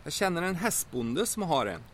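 A man's voice: fairly high pitch, very animated, slight background noise. A man playing Doktor Loppa, folksy safecracker. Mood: folksy, smug. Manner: folksy, boastful, flares into shouting. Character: boastful rural male safecracker, gruff, quick-tempered. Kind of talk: audio drama acting.

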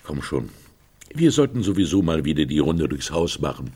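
A man's voice: a bass voice, sing-song, moderate pace, darkish tone, husky, full character voice. An actor playing a brusque, sceptical man with a dry, pedantic manner in a naturalistic radio drama. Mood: dry, impatient. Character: brusque, sceptical man fretting over a decaying family house.

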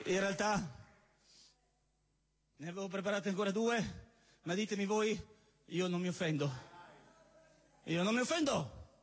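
Male stage poet-comedian, high voice, theatrical declamation with sudden spoken asides. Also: unhurried, long pauses. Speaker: stage poet and comic performer. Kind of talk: declaimed satirical spoken-word performance for a live audience. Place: live stage at a party show.

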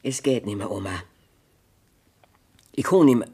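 An actress with a Bavarian accent playing a worn-down farmer's wife in a 1970s radio play, bitter and weary. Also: female voice, rumbling low, lively intonation, unhurried, warm tone, slightly rough, exaggerated voice. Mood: desperate.

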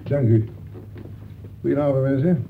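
A man's voice: medium pitch, normal speed, gravelly, close to an everyday voice. A man playing a chatty, deferential police officer in a Dutch radio play, talking in a roundabout official way. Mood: polite, official. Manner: roundabout, official, punctuates with polite address. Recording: muffled, noisy old radio play recording.

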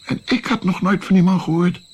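An actor playing an older musician in a Dutch radio drama, looking back in a reflective, self-mocking and musing way, with a gravelly voice. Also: male voice, high-pitched, fairly quick, slight background noise, lightly acted. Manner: musing, hesitant, expressive.

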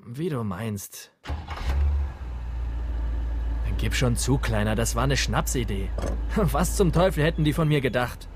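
A man's voice: mid-range voice, expressive, moderate pace, noisy background, natural voice. A man playing a cocky, mocking small-time crook in an audio drama. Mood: scornful.

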